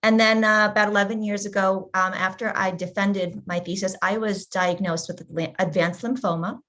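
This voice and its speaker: A woman in her forties at an ordinary middle pitch. She talks earnestly and steadily, a patient advocate telling her own story to a panel. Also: steady tone, normal speed, fairly bright tone. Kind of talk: personal story on a video panel.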